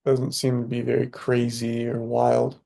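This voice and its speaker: A man leading a guided meditation as a teacher, speaking calmly and unhurriedly with long pauses. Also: medium pitch, creaky.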